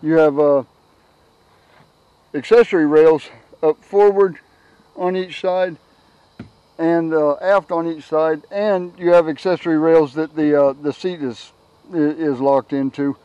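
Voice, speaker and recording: A middle-aged man giving a casual, unscripted product walkthrough outdoors on a camera mic, halting and repeating words as he goes. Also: a little high, fairly even intonation, warm tone, clear, resonant tone, no room sound, lo-fi sound. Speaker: product reviewer, kayak owner. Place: outdoors on a grassy yard. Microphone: handheld camera's built-in microphone.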